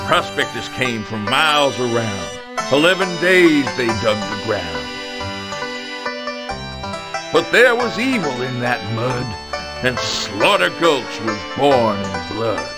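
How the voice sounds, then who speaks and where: A folksy balladeer from a western video game, spinning a grim frontier legend in rhyme. His delivery is dramatic and swings widely in pitch, with country accordion music playing along. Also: very animated, slow. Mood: ominous, folksy. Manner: rhyming, folksy, dramatic storytelling.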